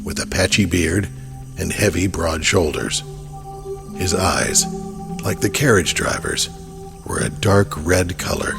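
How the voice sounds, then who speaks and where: A man's voice: low voice, creaky. A man narrating a horror audio drama in a scripted storytelling style.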